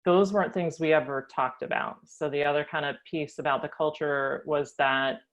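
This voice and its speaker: An American woman telling her family story as a guest, thoughtful with frequent pauses and ums. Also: low-pitched, fairly even intonation, dull audio. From United States. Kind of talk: reflective personal storytelling.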